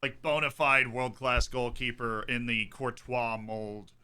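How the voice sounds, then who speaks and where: A man's voice: mid-range voice, level tone, unhurried, pushed and bright, clean tone. A young man hosting a live football watchalong, chatting casually and joking with a co-host. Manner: chatty, jokey, repeats words when thinking. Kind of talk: casual chat reacting to a live match.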